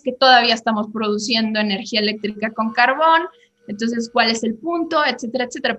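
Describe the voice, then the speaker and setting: Bright-voiced woman explaining economics. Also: fairly high pitch. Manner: explains with examples, many "eh" fillers. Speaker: guest on economics show. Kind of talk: conversational explanation.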